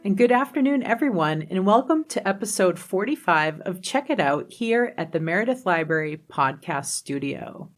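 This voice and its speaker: A woman's voice: medium pitch, lively intonation, moderate pace, hardly any pauses, faint music behind. A woman co-hosting a podcast in casual conversation, with an upbeat, appreciative way of talking. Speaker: podcast co-host.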